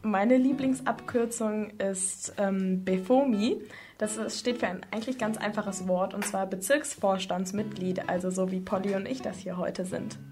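A woman's voice: mid-range voice, normal speed, darkish tone, faint music behind. A young woman giving interview answers on the radio as a student representative. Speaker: studio guest, student representative.

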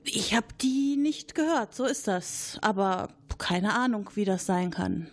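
A woman's voice: medium pitch, lively intonation, unhurried. A woman playing a cautious, noncommittal military colonel answering questions in an improvised role-play. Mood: guarded. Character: guarded, evasive military colonel under questioning.

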